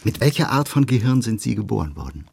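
Male interviewer, low gravelly and husky, dry close mic, pointed questions. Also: big pitch swings, fast, a little mellow, no room sound. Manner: challenging, pointed.

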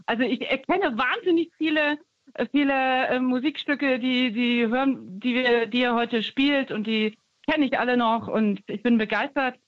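A woman's voice: a little high, normal speed, dry recording. Hesitant woman calling in by phone. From Germany. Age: 50s. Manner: hesitant, rambling, lots of fillers. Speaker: caller. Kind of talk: phone-in chat. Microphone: phone line. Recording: dull phone line.

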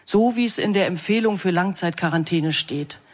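A man reading a passage from a novel aloud in a calm, measured way. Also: high voice. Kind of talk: literary reading.

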